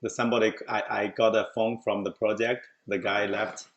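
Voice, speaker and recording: Brief: middle-aged Chinese-accented man, gravelly low voice, loose chatty storytelling with laughs. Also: flat delivery, moderate pace, fairly bright tone, dull audio. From China. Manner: chatty, often breaks into laughter mid-sentence. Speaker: show host telling his story. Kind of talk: casual conversation.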